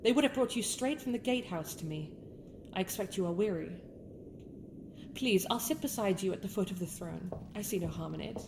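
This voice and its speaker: A woman's voice: mid-range voice, animated, brisk, vocal fry, background noise. A woman with a British accent playing a haughty young winter princess in a scripted ASMR roleplay, speaking in formal, courtly phrases. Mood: contrite, courteous. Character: haughty sharp-tongued young winter princess with a softer, regretful side.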